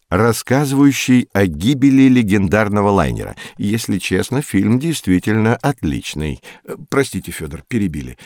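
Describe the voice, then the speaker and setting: A man's voice: lowish voice, darkish tone, no echo, clean studio sound. A man hosting an educational programme, explaining things to young listeners in an articulate, didactic way. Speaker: host of an educational audio programme. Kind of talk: explanatory narration for children.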